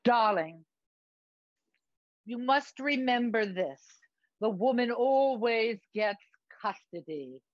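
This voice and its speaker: A woman's voice: on the high side, expressive, slow pace, long pauses, no echo, lo-fi sound. A woman voicing a flamboyant, dramatic man friend with an affected British accent and a grand, campy, emphatic delivery in a stage monologue. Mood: grandly reassuring. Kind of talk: theatrical monologue, acted dialogue.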